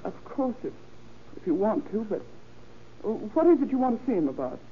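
An actress playing a faded, anxious little housewife in an old radio crime drama, hesitant, flustered and often stammering. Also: female voice, fairly high pitch, big pitch swings, a little fast. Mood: ashamed, flustered. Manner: hesitant, stammering, repeats words. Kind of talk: 1930s-40s radio crime drama acting. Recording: old tinny radio recording with noise.

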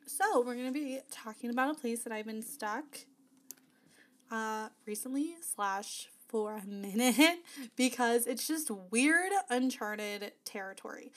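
A woman's voice: a little high, measured pace. Chatty woman host, slightly nasal. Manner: chatty, upbeat, frequent laughs and fillers. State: voice not fully recovered from illness. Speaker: podcast host. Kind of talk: casual solo podcast monologue.

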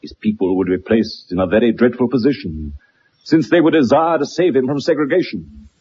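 An actor playing a self-assured, eloquent gentleman detective in a radio drama, with a formal, lecturing manner. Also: medium pitch, brisk, slight background noise, close to an everyday voice. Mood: assured, explanatory.

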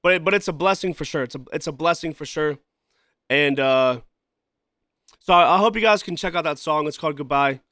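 A man hosting his own podcast in casual, rambling talk, repeating himself, often saying "you know" and "man", and clearing a scratchy throat. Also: on the high side, fairly quick, pushed and bright, dry recording, studio quality. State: throat trouble, coughing and clearing throat. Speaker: podcast host and musician.

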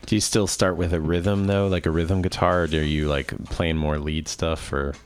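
A man's voice: low voice, fairly even intonation, normal speed, clear, resonant tone. A man hosting a radio show, asking curious questions and chatting in a loose, conversational way. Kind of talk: casual interview chat.

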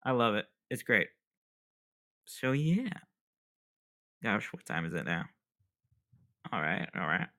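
Young male podcast host, casual rambling delivery, bright tone, self-deprecating. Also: on the low side, very animated, slow pace, long pauses. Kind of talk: casual solo podcast chat.

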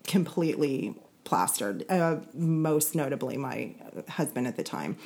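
A woman's voice: a bass voice, expressive, unhurried, darkish tone. A woman podcast co-host talking casually about personal things in conversation.

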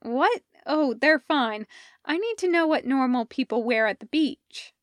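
A woman's voice: sits high, lively intonation, a little slow, no echo, studio quality. A woman voicing a blunt, awkward young woman schemer with a clipped delivery, in a story. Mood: brisk.

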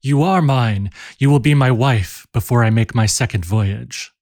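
A man's voice: medium pitch, a little slow, darkish tone, no room sound, close to an everyday voice. A man playing a passionate young man who pleads with the woman he loves, staying close to his own reading voice. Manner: pleading, intense. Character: passionate young man, eager sailor lover.

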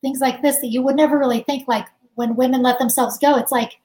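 High-voiced chatty woman, laughs often. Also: fairly even intonation, quick pace, darkish tone. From United States. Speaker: guest musician. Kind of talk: casual interview conversation.

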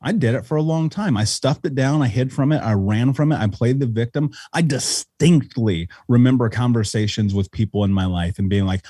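Blunt, husky man swearing freely. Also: mid-range voice, brisk, hardly any pauses, dark tone, no room sound, clean studio sound. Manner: blunt, intense, profane. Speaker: podcast guest. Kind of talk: casual podcast conversation.

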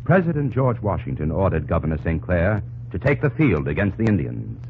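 A man's voice: deep voice, sing-song, fairly quick. A man giving dramatic, scripted narration for an old-time radio drama. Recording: muffled old radio recording with music.

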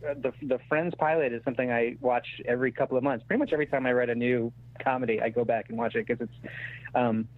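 Screenwriter guest, male, thirties. Halting, self-deprecating, conversational; narrow telephone-band audio. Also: medium pitch, steady tone. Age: 30s. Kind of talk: casual interview chat. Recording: thin phone-like radio-style sound.

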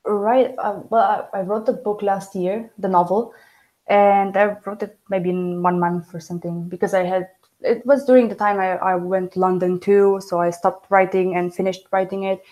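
Mellow-voiced woman, non-native English speaker. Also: medium pitch, fairly even intonation, rough recording. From Germany. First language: Romanian. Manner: halting, restarts and self-corrections. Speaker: interview guest, novelist. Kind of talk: casual interview talk.

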